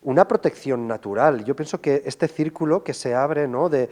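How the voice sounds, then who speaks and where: A man's voice: medium pitch, normal speed, darkish tone. A man conducting a conversational on-location television interview, speaking in an effusive, complimentary way.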